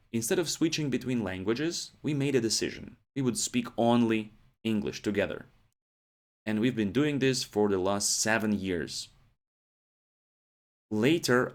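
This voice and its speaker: Podcast host, male, thirties, lowish voice, steady explainer who checks in with listeners. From Ukraine. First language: Ukrainian. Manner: explains steadily, often adds "yeah?" and "right?" checks. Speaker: English teacher, podcast host. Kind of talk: podcast lesson monologue.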